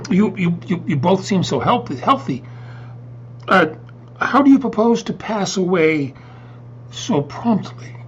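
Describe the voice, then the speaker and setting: A man's voice: sits high, sing-song, slow pace, pauses often, heavily acted. A man playing a timid, polite funeral director in a comic readers theater piece, stammering and hesitant with flustered courtesy. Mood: alarmed. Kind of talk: comedic readers theater acting. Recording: dull muffled audio with faint hum.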